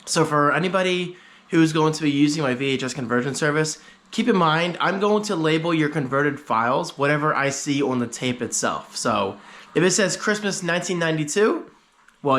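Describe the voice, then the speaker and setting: A man's voice: a little high, brisk, fairly bright tone. A man talking casually to the camera in a home vlog, chatty and upbeat with rambling asides, sounding slightly congested. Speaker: vlogger. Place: at home, indoors.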